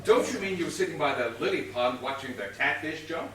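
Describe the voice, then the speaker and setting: Stage comedy inspector male actor: bright, cutting voice, dry, officious, exasperated; distant, heavily echoing recording. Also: fairly high pitch, lively intonation. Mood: dry, probing. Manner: brisk, dry, officious questioning. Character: exasperated male police inspector in a comic whodunit. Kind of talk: comic stage play acting. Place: stage of a small theatre. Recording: distant echoey theatre recording, faint noise.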